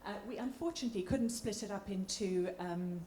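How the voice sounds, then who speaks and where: A woman doctor with a slightly croaky voice, giving an informal, somewhat hesitant public health presentation. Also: mid-range voice, a little fast, dark tone. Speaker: doctor, clinical respiratory lead. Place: large indoor room at a public meeting. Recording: echoey room with background noise.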